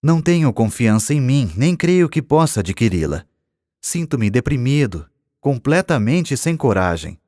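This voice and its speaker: A man's voice: medium pitch, very animated, a little slow, mellow, no room sound, studio quality, voice pushed up. A man with a Brazilian accent voicing a despondent, anxious businessman of forty in an audiobook, lamenting and pleading earnestly. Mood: dejected, pleading. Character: despondent, anxious forty-year-old businessman lacking self-confidence. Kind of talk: audiobook character dialogue.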